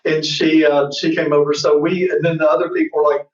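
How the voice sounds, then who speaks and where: A man in his fifties, a guest who hosts an entertainment show, telling rambling, excited stories in casual chat over a video call. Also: fairly high pitch, brisk. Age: 50s. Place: indoor room. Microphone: far camera on a video call. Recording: roomy, distant, muffled video-call audio.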